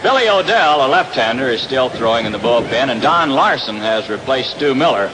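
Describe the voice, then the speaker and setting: A man's voice: mid-range voice, expressive, fairly quick. American baseball radio announcer, man, noisy broadcast. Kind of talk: live radio play-by-play commentary. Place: outdoor baseball stadium. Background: loud ambient ballpark noise.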